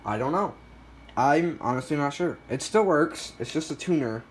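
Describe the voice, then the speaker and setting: A man's voice: a little high, lively intonation, normal speed, a little hiss or hum. A man talking casually and off the cuff as he shows items in a collection, a bit self-deprecating. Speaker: collector showing a weather radio collection. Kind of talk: casual unscripted show-and-tell.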